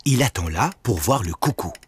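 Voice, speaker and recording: A man's voice: on the low side, lively intonation, moderate pace, breathy edge. A man narrating a preschool cartoon from a script in a simple storytelling manner. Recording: music under the voice.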